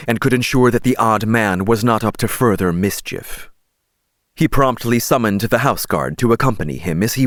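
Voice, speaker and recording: A man narrating an audiobook as scripted dramatic storytelling, measured and expressive. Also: sits low, no echo, clean studio sound.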